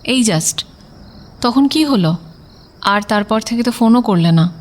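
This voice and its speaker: Bantering young woman, higher-pitched voice. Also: expressive, unhurried. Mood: affectionate, probing. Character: young woman IT professional, bantering, defensive, devoted girlfriend. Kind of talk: audio story dialogue in casual Bengali.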